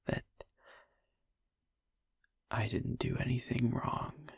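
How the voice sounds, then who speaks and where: A man playing a traumatised young vampire boyfriend in an intimate audio roleplay, speaking in a breathy hush with halting pauses. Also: mid-range voice, no echo, clean studio sound, heavily acted. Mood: fragile, reassuring himself. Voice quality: breathy, whispery. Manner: halting, hushed, pausing mid-sentence.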